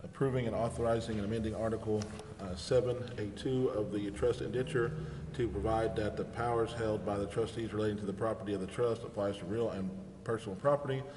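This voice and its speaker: A man with a fairly low voice chairs a civic meeting in formal, procedural language. He is picked up from a distance in a reverberant hall, with clear noise behind him. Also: fairly even intonation, fast, hardly any pauses. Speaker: mayor chairing a city council meeting. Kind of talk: formal meeting procedure and reading agenda items. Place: indoor council chambers.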